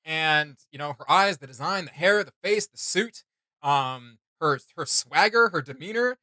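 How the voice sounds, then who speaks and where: A young man hosting a reaction video, chatting casually in an animated, rambling, slangy way. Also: fairly high pitch, a little slow, dry recording, poor audio. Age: young adult. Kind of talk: casual enthusiastic reaction chat. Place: small indoor room with desk and shelves.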